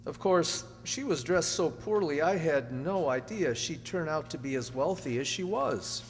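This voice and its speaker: A man's voice: fairly high pitch, lively intonation, unhurried. A man playing an anxious young murder suspect, earnest and faltering, in an old radio drama with a reverberant, hummy sound. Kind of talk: 1940s radio drama acting.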